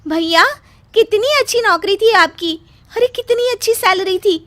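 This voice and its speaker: A woman's voice: very high voice, normal speed, a bit bright, no echo, pitched above the performer's own voice. A woman voicing a carefree, chirpy college-age daughter in a cartoon story. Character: carefree teenage college girl, pampered daughter.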